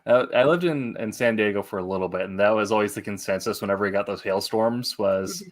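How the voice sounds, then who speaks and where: A man hosting a casual interview show, speaking in a halting, hesitant way. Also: on the low side. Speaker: show host.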